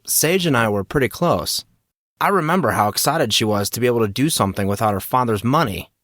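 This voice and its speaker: An easygoing young man reminisces in a relaxed, mid-pitched voice, as a narrator puts him on. The sound is dry and close-miked, without any room echo. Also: fairly quick, close to an everyday voice. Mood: wistful.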